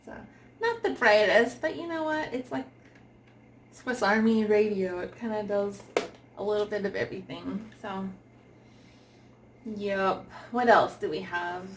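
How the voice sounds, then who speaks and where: A woman giving an informal, unscripted product review, giggly and often pausing to search for words. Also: mid-range voice, slight background noise.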